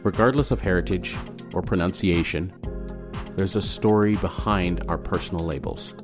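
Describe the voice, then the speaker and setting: A man's voice: low-pitched, normal speed. A man from Malawi whose first language is Chichewa, hosting a podcast in a scripted narration with playful asides. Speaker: podcast host. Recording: music bed under the voice.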